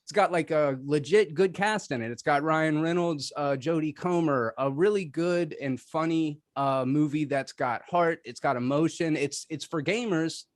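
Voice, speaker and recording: A man's voice: a little high, moderate pace, few pauses, a little hiss or hum. A man making a casual, off-the-cuff debate argument with a sarcastic, wry manner. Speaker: debate contestant, film podcaster.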